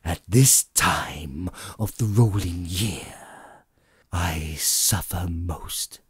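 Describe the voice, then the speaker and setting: A man voicing the anguished, remorseful ghost of a dead businessman in a slow, mournful lament for an audiobook. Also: deep voice, husky, no room sound, clean studio sound, voice pushed down, exaggerated voice. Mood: sorrowful warning.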